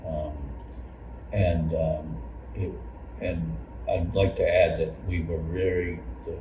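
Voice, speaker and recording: Male church member interviewee, low register, informal and halting, recorded far off with room echo. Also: level tone, narrow, tinny audio. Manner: halting, repeats words as he forms thoughts. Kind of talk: informal spoken recollection in a group interview. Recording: noisy, echoey room, far from mic.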